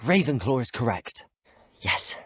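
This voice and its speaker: An actor playing a polite, earnest teenage student wizard in a video game, with a British accent and courteous, articulate speech. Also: male voice, medium pitch, sing-song, a little slow, husky, no echo, studio quality. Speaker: video game player character. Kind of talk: scripted game dialogue.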